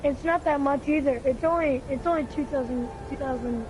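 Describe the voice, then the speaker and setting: High-voiced gamer over clear background noise, a woman. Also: a little fast. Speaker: video game player. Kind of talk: casual chat during gaming. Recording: noisy home recording, clear background noise.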